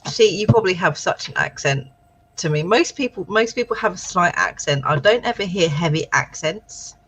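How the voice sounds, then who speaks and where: A woman's voice: fairly low pitch, animated, normal speed, a bit bright, slight background noise, rough recording. A British woman co-hosting a casual writing livestream, chatting and reading out viewer comments encouragingly. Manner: chatty, encouraging, thinks aloud. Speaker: livestream co-host.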